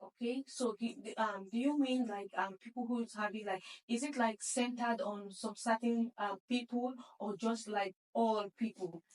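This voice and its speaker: A woman's voice: a little high, fairly even intonation, moderate pace, hardly any pauses, a little mellow, recorded from a distance, low-quality recording. A woman hosting a podcast interview, chatty and talking straight to her audience. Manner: chatty, addresses audience as guys. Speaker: podcast host. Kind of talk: casual interview.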